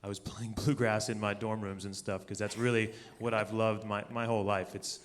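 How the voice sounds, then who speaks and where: A man's voice: on the low side, level tone, moderate pace. A male musician speaking as an interview guest in an informal, earnest, conversational way, recorded live on stage. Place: stage of a live radio show. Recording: live hall with long reverb.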